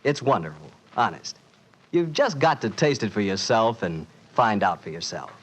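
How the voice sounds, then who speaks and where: A man's voice: fairly low pitch, lively intonation, moderate pace, husky. A man with an American accent announcing in a folksy, salesmanlike 1940s radio style.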